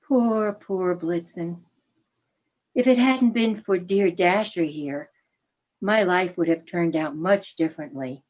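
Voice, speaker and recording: A woman's voice: medium pitch, muffled recording. A woman reading a gracious, affectionate female reindeer in a radio play, genteel and effusive. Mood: wistful.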